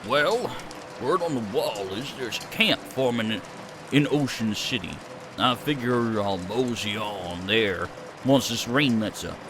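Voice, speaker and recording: A man's voice: mid-range voice, lively intonation, measured pace, background noise, pitched below the performer's own voice, close to an everyday voice. A man voicing a cheerful, folksy male hobo with an easygoing country drawl.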